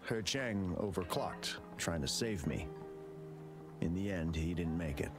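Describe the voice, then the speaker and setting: A man's voice: sits low, expressive, a little slow, music in the background. An actor voicing an earnest, grieving male guard in a video game. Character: somber loyal male camp guard.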